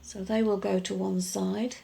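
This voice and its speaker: A middle-aged woman chats through her nail art steps, wandering off into asides as she goes. Her voice is gruff and full of gravelly fry because she has only just got up. Her pitch sits in the middle. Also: flat delivery, a little slow, dark tone. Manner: chatty, rambling explanations with many asides. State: just woken up. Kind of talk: casual tutorial voice-over.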